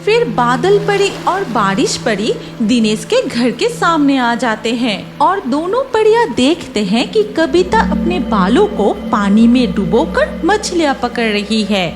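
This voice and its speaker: A woman's voice: sits high, sing-song, measured pace, few pauses, over music. A woman reading scripted cartoon story narration as the storyteller.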